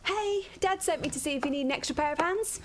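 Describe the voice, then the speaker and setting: An actress playing a cheeky, gossipy young daughter of publicans in a radio soap. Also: female voice, high-pitched, quick pace, noisy background, lightly acted. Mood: friendly chatty. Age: young adult. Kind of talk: naturalistic radio drama acting.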